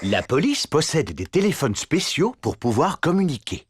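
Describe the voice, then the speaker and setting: A woman's voice: sits low, big pitch swings, normal speed, breathy edge, dry recording, studio quality, exaggerated voice. A woman narrating a children's cartoon in short explanatory lines.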